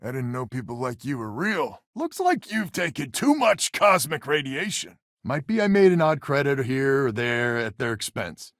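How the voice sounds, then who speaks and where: A man's voice: medium pitch, very animated, normal speed, hardly any pauses, a bit bright, dry recording, studio quality. A man voicing a weary, stubborn space settler leader in a video game, plain-spoken and sardonic with a folksy manner. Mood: surprised. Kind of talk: video game character dialogue.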